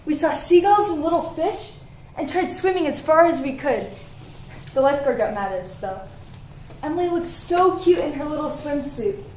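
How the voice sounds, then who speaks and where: A woman's voice: sits high, darkish tone. A woman playing a loving, forgetful middle-aged mother, reciting diary entries tenderly and earnestly in a stage play. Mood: fond, nostalgic. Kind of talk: dramatic monologue in a one-act play. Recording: echoey stage, background noise.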